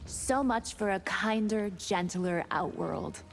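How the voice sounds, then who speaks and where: Slow, scornful vampire woman. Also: mid-range voice, vocal fry, soft background music. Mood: menacing, scornful. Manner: taunting, measured. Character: proud, menacing female vampire, bloodthirsty and scornful. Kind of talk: video game character dialogue.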